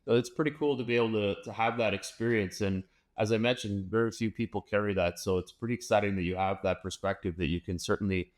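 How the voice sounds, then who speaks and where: A man's voice: lowish voice, fast talker. A man hosting an interview podcast, upbeat and enthusiastic, with frequent fillers and restarts. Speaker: podcast host. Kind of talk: casual interview chat.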